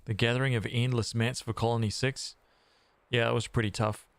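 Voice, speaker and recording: A man's voice: mid-range voice, level tone, brisk, no echo. A man giving casual reaction commentary as a gaming streamer.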